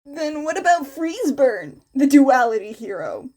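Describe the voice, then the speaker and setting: A practical teenage boy in an audio story, read by a woman in a near-natural voice. He is confident and matter-of-fact, with a high, animated delivery. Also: a little slow. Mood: suggesting. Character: confident, practical teenage boy hero student, advising classmate.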